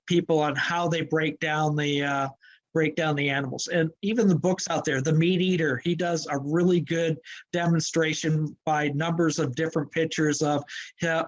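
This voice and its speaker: A man with a fairly high voice, chatting as a guest about hunting. He is easygoing and relaxed. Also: moderate pace, poor audio. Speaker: guest hunter. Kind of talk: casual chat.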